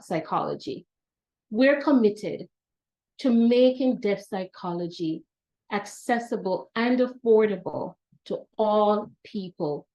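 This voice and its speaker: An older woman with a Jamaican accent delivers a prepared, uplifting address from a video call. She speaks at an unhurried pace in a middle pitch, and she stresses her phrases one by one with measured emphasis. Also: dark tone, rough recording. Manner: slow, deliberate and emphatic, with repeated phrases. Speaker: institute leader giving a welcome address. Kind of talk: prepared inspirational speech.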